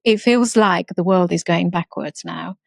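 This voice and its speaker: A woman from Romania, talking earnestly as a guest in a podcast interview. Her voice sits a little low and has a gravelly fry, and she stops and restarts her sentences often. The sound is dry and close-miked. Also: no echo. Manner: earnest, halting with many restarts. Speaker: guest entrepreneur. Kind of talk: casual interview talk.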